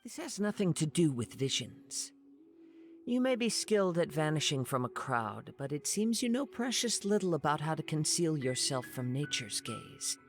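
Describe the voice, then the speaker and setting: A man playing a calm, poetic young wandering swordsman in an anime game, speaking in measured, eloquent phrases. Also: on the high side. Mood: cool, critical. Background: background music.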